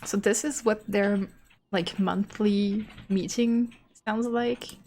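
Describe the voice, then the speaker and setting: A woman's voice: medium pitch, steady tone, slow, warm tone, slight background noise. A woman game streamer chatting casually on a live stream and reacting aloud to game dialogue.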